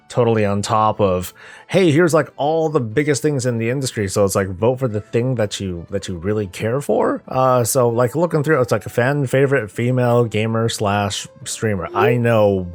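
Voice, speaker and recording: A man hosting casual gaming news commentary, talking conversationally and thinking out loud as he goes. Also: medium pitch. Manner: conversational, rambling, thinking aloud. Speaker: gaming news YouTube host.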